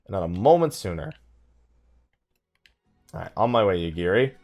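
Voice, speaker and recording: A man giving casual let's play commentary, rambling and thinking out loud with mild exasperation. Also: low voice, big pitch swings, normal speed. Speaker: gaming video host. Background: game music.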